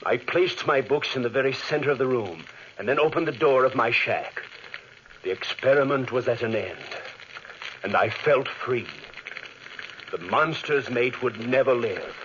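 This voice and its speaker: An actor playing a tormented scientist haunted by guilt and fear, melodramatic and halting, in 1940s radio style. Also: male voice, mid-range voice, expressive, fairly bright tone, a little hiss or hum. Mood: grim narration. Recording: dull, husky-sounding 1940s radio recording.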